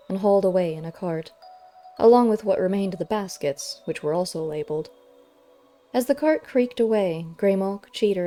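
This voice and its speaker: Mellow woman narrating over music. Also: fairly low pitch. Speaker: audiobook narrator. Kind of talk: scripted story narration.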